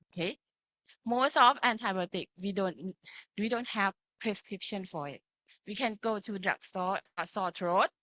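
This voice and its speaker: A woman's voice: medium pitch, sharp tone, dry recording, low-quality recording. A woman from Thailand with a Thai accent, lecturing to students in simple phrases and often checking that they follow. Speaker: pharmacy lecturer. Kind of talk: interactive online lecture with slides.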